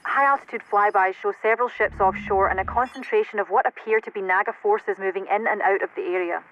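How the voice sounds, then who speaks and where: A woman playing a composed military intelligence operator in a video game, briefing in a formal, measured way. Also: mid-range voice, steady tone, a bit bright, lo-fi sound, a little hiss or hum, natural voice. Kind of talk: video game cutscene acting.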